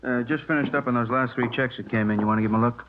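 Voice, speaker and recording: An American man playing a methodical police handwriting expert, explaining haltingly and checking that he is followed, in 1950s radio drama style. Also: medium pitch, steady tone, background noise, close to an everyday voice. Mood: absorbed, matter-of-fact. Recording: tinny old radio recording.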